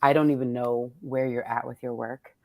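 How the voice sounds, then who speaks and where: A woman with a strikingly low voice and an American accent, recorded dry and close to silent in room sound. She hosts in a loose, unscripted style, effusive, slangy and freely profane. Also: fairly even intonation, normal speed, darkish tone, dry recording. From born in Texas, United States. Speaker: host of a poets' table reading. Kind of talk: casual, unscripted conversation with fellow poets.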